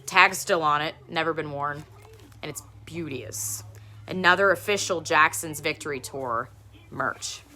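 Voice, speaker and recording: Chatty woman with a bright, low voice. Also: measured pace, slight background noise. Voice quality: low speaking voice. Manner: chatty, rambling, laughs often. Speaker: live stream host. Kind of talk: casual live-stream show and tell.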